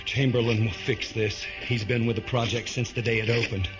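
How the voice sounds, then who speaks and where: A man's voice: mid-range voice, steady tone, a little fast, natural voice. Desperate fugitive played by a male actor, sci-fi radio play. Agitated and pressing, muffled mix over music. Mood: anxious hope. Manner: urgent, agitated. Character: desperate hunted man insisting he is human. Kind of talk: science fiction radio drama acting.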